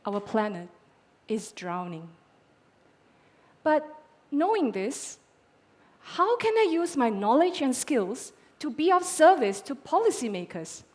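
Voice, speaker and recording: A woman in her thirties with a slightly high voice delivers a rehearsed pitch on a conference stage. She speaks in a dramatic, measured way, pausing between phrases for effect. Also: sing-song, darkish tone. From Malaysia. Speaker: postdoctoral climate scientist.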